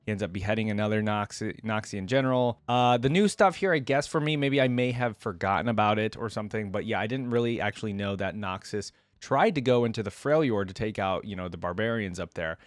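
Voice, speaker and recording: A man with a fairly low voice, recorded very dry with little room around it. He talks like a casual reaction creator, chatting through his thoughts and reading story text aloud in the same easy register. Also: a little fast, hardly any pauses, dry recording.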